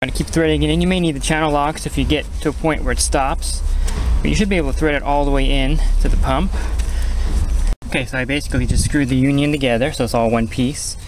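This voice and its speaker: A man's voice: a little high, steady tone, fast. A man giving casual, plain-spoken step-by-step instructions as he does hands-on work outdoors, hosting a how-to tutorial. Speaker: how-to host, pool equipment installer. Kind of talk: casual hands-on tutorial narration while working. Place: outdoors at a small backyard equipment pad. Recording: outdoor recording with clear ambient background noise.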